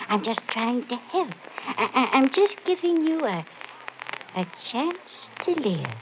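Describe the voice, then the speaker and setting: An actress in an old-time radio mystery playing a nervous, insistent, ominous woman with a halting, pleading, melodramatic delivery. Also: fairly high pitch, very animated. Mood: ominous insistence. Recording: muffled, hissy old radio recording.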